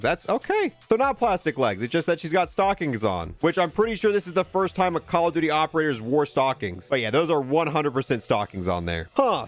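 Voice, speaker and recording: A man hosting a gaming video, giving casual showcase commentary on a video game. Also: on the high side.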